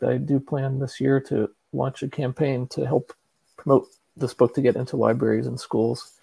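Male guest author, earnest explainer, creaky mellow voice, dry close sound. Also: medium pitch, dry recording, rough recording. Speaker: guest, children's book author. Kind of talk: conversational interview answers.

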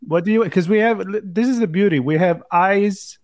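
A man's voice: sits high, moderate pace, a little mellow, no room sound, studio quality. A man co-hosting a podcast in a casual, joking live chat. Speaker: podcast co-host.

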